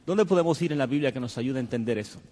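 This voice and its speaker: A man's voice: medium pitch, lively intonation, rapid-fire, warm tone. Guy hosting a church tv panel, lots of ehs, echoey hall. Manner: hesitant, many fillers, courteous. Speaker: program host and panel moderator. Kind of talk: religious TV panel discussion. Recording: long hall reverb.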